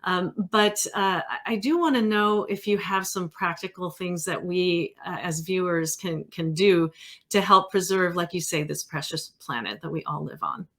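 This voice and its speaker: Lower-voiced mature American woman, hesitant host. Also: moderate pace, fairly bright tone. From California. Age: middle-aged or older. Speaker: interview host. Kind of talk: casual conversational interviewing.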